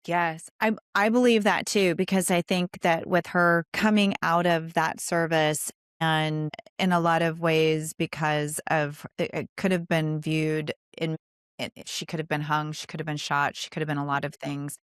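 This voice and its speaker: A woman's voice: deep voice, little pitch movement, measured pace, smooth, dry recording, clean studio sound. A woman hosting a conversational interview podcast, affirming and enthusiastic about her subject.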